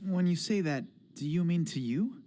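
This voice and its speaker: A man's voice: fairly high pitch, moderate pace, mellow, lightly acted. An actor playing an earnest, stubborn teenage boy hero in an English anime dub, idealistic and a little hesitant. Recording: music under the voice.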